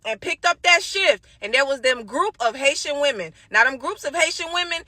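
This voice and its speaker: A woman's voice: sits high, lively intonation, normal speed, pushed and bright, dry recording. A sassy, emphatic woman telling a personal story casually, with some swearing.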